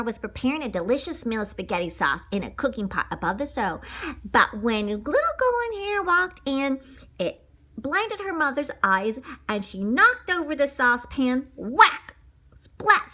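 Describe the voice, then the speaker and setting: A woman with a high, bright voice reads a children's story aloud. Her delivery is very lively and dramatic, dotted with spoken sound effects. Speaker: storybook reader.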